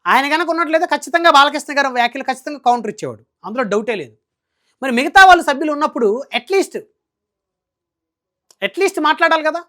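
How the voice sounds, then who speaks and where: Male news commentator, very quick delivery, emphatic, scolding, rhetorical questions. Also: very high-pitched, lively intonation, bright, forceful tone. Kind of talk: opinionated political news commentary.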